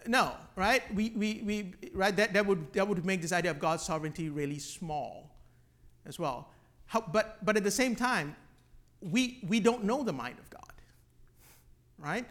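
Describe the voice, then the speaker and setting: High-voiced man from Malaysia, teaching informally. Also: fairly bright tone. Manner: halting, often repeats words and tags phrases with "right?". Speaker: church class teacher. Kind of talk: informal teaching with questions from the group.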